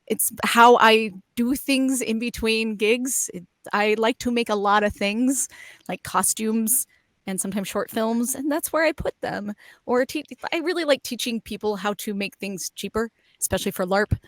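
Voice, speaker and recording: Higher-voiced young woman, dry close mic. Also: measured pace, no echo. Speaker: panel guest, voice actor and YouTuber. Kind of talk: casual chat. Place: foam-treated home booth. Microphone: close studio microphone.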